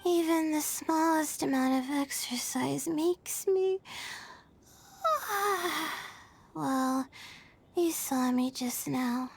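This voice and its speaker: Casting: shy, exhausted young student, very high soft girlish voice, slow hesitant delivery, video game. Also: creaky, heavily acted. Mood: embarrassed, weary. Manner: hesitant, drowsy, self-effacing. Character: sleepy, timid young female student, low on energy.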